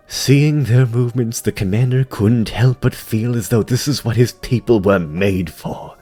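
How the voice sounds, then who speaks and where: Low-voiced man, very expressive fantasy narration. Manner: grave, deliberate, theatrical. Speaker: narrator of a fan audio drama. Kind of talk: dramatic scripted fantasy narration.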